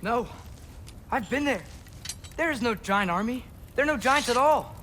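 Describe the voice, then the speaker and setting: Casting: earnest teen boy, high voice, game drama, pleading and curious, hesitant but persuasive. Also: lively intonation, moderate pace, sharp tone, a little hiss or hum, heavily acted. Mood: pleading, insistent. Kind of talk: video game cutscene acting.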